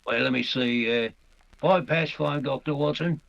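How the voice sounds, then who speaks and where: Plain-spoken radio police detective, male actor: gravelly, bright, respectful but matter-of-fact. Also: medium pitch, normal speed, lo-fi sound. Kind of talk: old-time radio mystery acting.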